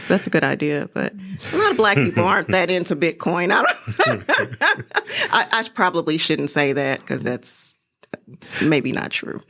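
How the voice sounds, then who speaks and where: Middle aged lady being interviewed, lowish voice, chatty and animated when she tells stuff. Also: unhurried. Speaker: playwright and interview guest. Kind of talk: casual interview chat.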